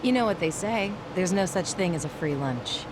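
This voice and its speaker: A woman's voice: on the low side, animated, normal speed, natural voice. An actress playing a cool, tough, pragmatic gang boss in a video game, curt and guarded, with noise behind her. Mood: wry. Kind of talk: English video game voice acting.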